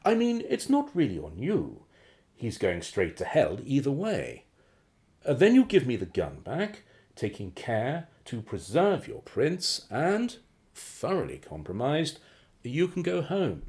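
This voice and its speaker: An actor in a radio play playing a suave, menacing crime boss with a mockingly genteel, condescending and drawn-out delivery. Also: male voice, medium pitch, wildly swooping pitch, a little mellow. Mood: silky menace. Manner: theatrical, condescending, chuckling politeness with drawn-out phrases.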